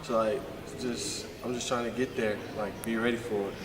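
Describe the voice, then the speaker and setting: A teenage male high school basketball player answering hesitantly, filling every pause with "like.". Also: little pitch movement. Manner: hesitant, leans on "like" constantly. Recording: echoey hall, far from mic, noisy.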